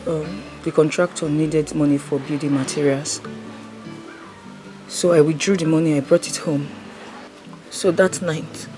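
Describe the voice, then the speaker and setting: A woman's voice: sits low, rough recording. A grieving woman over music. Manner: halting, sorrowful. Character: grieving woman recounting a robbery.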